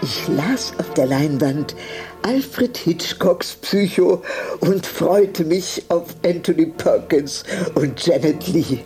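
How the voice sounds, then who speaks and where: An actress playing a nostalgic woman film lover, narrating in in a measured, deliberate way for a radio drama. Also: deep voice, lively intonation, warm tone. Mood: absorbed. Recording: music behind the voice.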